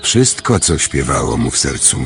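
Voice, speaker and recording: An actor playing a grand male clock master who tells fairy tales in an audio drama, with a husky voice. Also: a bass voice, big pitch swings, normal speed, a bit bright, soft background music, heavily acted. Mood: storytelling. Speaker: storyteller.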